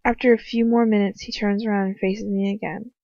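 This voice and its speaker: A woman's voice: medium pitch, little pitch movement, fairly quick. A woman giving a dramatic first-person reading of fan fiction, as an amateur audiobook narrator. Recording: muffled roomy home recording.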